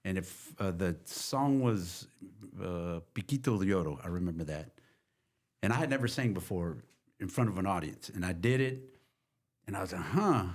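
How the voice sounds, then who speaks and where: An older man from Texas, a guest musician, telling long rambling stories in a relaxed chat. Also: deep voice, moderate pace. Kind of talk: casual interview storytelling.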